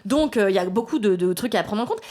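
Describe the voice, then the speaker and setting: A woman in her thirties, a guest in a casual chat, telling lively stories and acting out what people said. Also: mid-range voice, fast. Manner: lively anecdotes with acted-out quotes.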